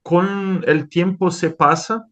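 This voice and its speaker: A Brazilian man whose native tongue is Portuguese talks through an interview in Spanish, stopping, restarting and filling gaps as he searches for words. His voice sits a bit high for a man. The sound comes over a video call. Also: fairly even intonation, takes it slowly, a bit bright. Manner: hesitant, many restarts and fillers. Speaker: interviewed scientist. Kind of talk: conversational interview.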